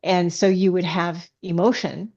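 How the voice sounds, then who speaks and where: Female podcast guest, writer, on the low side, thoughtful and halting, heard through a slightly muffled connection. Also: fairly even intonation, a little mellow. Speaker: guest author. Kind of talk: casual interview chat.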